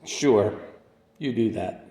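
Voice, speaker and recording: A man's voice: lowish voice, slow, warm tone. A man playing a kindly, lonely wandering healer in a radio play, speaking in an earnest, folksy, reflective way. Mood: gentle, upbeat. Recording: echoey room with long reverb.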